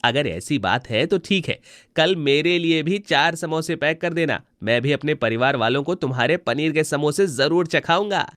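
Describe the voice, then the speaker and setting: A man's voice: fairly high pitch, expressive, moderate pace, few pauses, fairly bright tone, no echo. Man as a neighbourly village customer, dry sound. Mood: friendly, advising. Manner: advising, neighbourly. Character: friendly, helpful male village samosa customer. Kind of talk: storybook dialogue.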